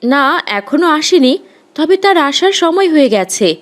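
A woman acts out an exasperated cartoon mum, very high and swinging widely in pitch. She is an emphatic, protective middle-aged mother who readily scolds. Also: big pitch swings, measured pace. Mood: questioning, exasperated.

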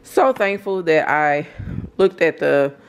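A woman's voice: sits low, animated, takes it slowly. An American woman in her thirties casually showing off a shopping haul from behind a handheld camera at home. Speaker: vlogger. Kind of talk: casual haul show-and-tell. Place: indoors at home. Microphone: handheld camera, speaker behind it. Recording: clear background noise and handling clicks.

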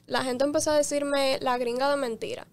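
A young woman from the Dominican Republic, a guest giving short, soft-spoken answers in a radio studio interview on a studio mic. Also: high voice, steady tone, a little fast. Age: young adult. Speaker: guest TikTok creator. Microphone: studio mic with headphones.

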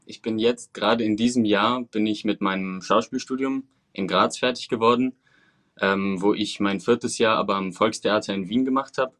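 A man's voice: on the low side, normal speed, a bit bright. A man in his twenties, from Venezuela and raised in Germany, answering as an actor guest over a video call, thoughtful and articulate with hesitant fillers. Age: 20s.

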